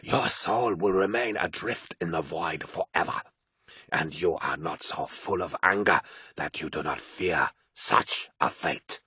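A cryptic old priestess, as a male narrator puts her on, her voice a rasping, breathy hush that drags slowly. It is deep yet bright-edged and menacing, and sounds recorded close and dry. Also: no room sound, exaggerated voice. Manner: slow, low and cryptic. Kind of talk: audiobook character voice.

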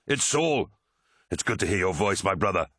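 A man voicing a desperate warrior pilot in an audiobook, shouting urgently as he pleads under fire. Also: low voice, fairly even intonation, quick pace, slightly rough, dry recording, clean studio sound, heavily acted. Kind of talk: dramatic audiobook character reading.

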